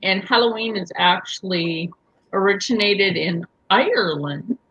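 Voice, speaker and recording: Host: woman, husky, chatty, laughs a lot, dry lo-fi sound. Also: mid-range voice, expressive, measured pace, fairly bright tone. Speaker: live show host. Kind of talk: casual group chat.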